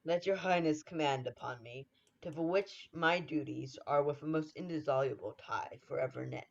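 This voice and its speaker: Muffled recording, young guy playing suspicious noble in old radio shakespeare, gravelly creaky voice. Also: a little high, moderate pace. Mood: deferential. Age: young adult. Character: wary, suspicious Scottish nobleman, loyal in manner. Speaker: student actor. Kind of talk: 1940s radio play Shakespeare acting. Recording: dull, muffled lo-fi sound.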